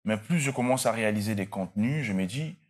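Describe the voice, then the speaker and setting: A young man with a Congolese French accent and a fairly low voice, talking casually as a guest. He is relaxed and easygoing, and often pauses to search for the right words. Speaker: social media content creator, guest. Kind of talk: casual interview chat.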